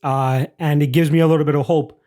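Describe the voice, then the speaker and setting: A man hosts a podcast interview in a relaxed, casual way. He rambles through his questions and jokes along with his guest. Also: a little high, fast talker. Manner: chatty, joking, asks rambling questions. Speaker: podcast host.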